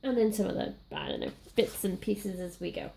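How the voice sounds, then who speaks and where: A young Welsh woman, a little croaky from being sick, chatting casually and rambling while she works on a scrapbook page. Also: mid-range voice, huge pitch swings, fairly quick, warm tone, slight background noise, rough recording. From Wales. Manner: chatty, rambling, self-deprecating. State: slightly croaky from illness. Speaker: scrapbooking crafter. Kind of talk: casual crafting chat.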